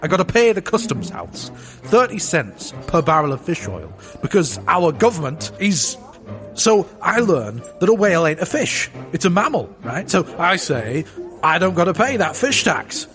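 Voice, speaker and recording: An actor playing an arrogant, gruff Gilded Age tycoon villain in a comedic period audio drama, sneering and bombastic in slangy talk. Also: male voice, fairly high pitch, big pitch swings, moderate pace, a bit bright, soft background music. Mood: impatient, scheming.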